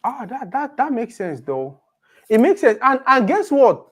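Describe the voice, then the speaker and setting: A man's voice: extremely high pitch, measured pace. A man chatting casually as a podcast co-host. He talks with energy and slips into pidgin. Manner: animated, mixes pidgin into his English. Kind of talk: casual chat.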